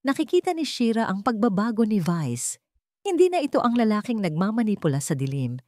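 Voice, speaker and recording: Animated woman storyteller, very dry sound. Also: on the low side, no room sound. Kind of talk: dramatic scripted narration of a love story.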